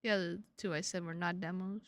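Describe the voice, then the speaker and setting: A woman live streaming games, chatting casually with viewers in an excitable, sweary way and repeating her phrases for emphasis. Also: on the low side, fast talker. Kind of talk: casual livestream chat with viewers.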